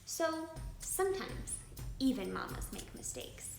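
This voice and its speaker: A woman reading a children's story aloud as host, with a dramatic, expressive storytelling delivery. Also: sits high, measured pace. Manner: dramatic, animated delivery with emphatic stresses and hushed moments. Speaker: children's book reader and channel host. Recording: roomy echo with clear music behind. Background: music.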